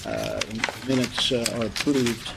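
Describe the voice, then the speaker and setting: American chairman over loud room noise. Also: mid-range voice, sing-song, measured pace, creaky. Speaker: board chairman. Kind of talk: formal meeting procedure. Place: large conference room with long table. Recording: loud background noise and clicks.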